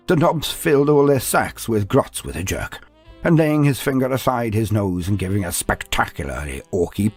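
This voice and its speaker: A man's voice: medium pitch, a little mellow, breathy edge. A man delivering dramatic scripted narration of fantasy lore with a theatrical storytelling manner.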